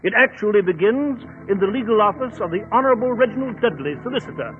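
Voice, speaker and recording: Genial english doctor telling tales on 1940s radio, highish voice, tinny phone-like audio. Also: male voice, lively intonation, fast, faint music behind. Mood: genial, storytelling. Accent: British. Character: genial English doctor and storyteller, bluff sidekick. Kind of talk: 1940s radio acting, storytelling.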